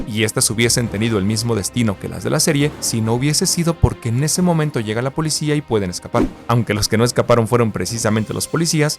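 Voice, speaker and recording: Man, scripted video narration, rapid delivery, explanatory, faint music under the voice. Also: medium pitch, creaky. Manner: brisk, explanatory, lightly conspiratorial. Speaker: YouTube pop-culture commentator.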